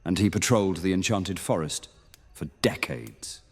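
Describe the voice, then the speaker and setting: Guy doing a stern old-world monster hunter knight, low voice, formal and grave, big echoey hall with music. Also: animated, moderate pace, a little mellow, breathy edge. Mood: solemn. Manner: solemn, formal, admonishing. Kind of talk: immersive fantasy audio roleplay. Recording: long hall reverb under music.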